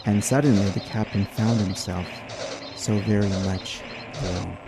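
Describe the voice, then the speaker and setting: A man narrating an old-time radio adventure in a theatrical, grandiose manner with dramatic pauses. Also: fairly low pitch, rough recording. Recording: narration over guitar music. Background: easy listening guitar music.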